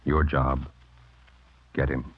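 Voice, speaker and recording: A man's voice: a bass voice, completely flat delivery, slow, very noisy. A man with an American accent narrating in a terse, dramatic 1950s radio style. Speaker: announcer. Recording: muffled old radio broadcast. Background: loud brass music.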